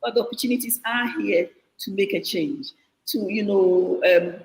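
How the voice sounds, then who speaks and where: A woman's voice: medium pitch, normal speed. A middle-aged woman with a Ghanaian accent speaks over a video call. She sounds earnest and reflective and often restarts her sentences. Her voice carries a roomy echo with a long reverb tail. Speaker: guest. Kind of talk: interview answer.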